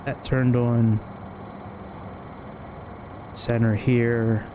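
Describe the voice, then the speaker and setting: A male flight sim streamer talks himself through procedures at an unhurried, slow pace. His voice sits at a medium pitch, in short, clipped phrases with a casual feel. Steady cockpit engine noise runs clearly behind him. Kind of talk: casual thinking-aloud checklist walkthrough. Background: cockpit ambience and engine noise from flight simulator.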